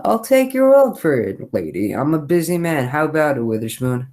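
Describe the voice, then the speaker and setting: A man's voice: a little high, very animated, normal speed, darkish tone. Man playing brusque, impatient police lieutenant. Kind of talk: radio play acting.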